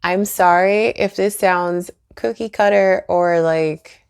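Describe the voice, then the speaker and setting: Earnest low-pitched woman with gravelly fry. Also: fairly even intonation, slow, darkish tone. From Trinidad and Tobago. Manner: earnest, encouraging, laughs often. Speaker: YouTuber and author. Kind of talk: faith-based vlog talk to camera.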